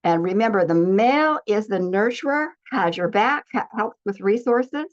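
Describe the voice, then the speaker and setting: A woman's voice: medium pitch, expressive, moderate pace. Woman, spiritual teacher, earnest declarative delivery, mid-range voice, slightly dull lo-fi recording. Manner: earnest, declarative, with frequent restarts.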